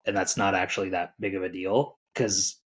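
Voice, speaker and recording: A man with a husky, slightly rough voice talks as a guest on a casual podcast. He is laid-back and joking, with lots of filler words. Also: low voice, fairly even intonation, moderate pace. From born in Ireland, raised in England and the United States.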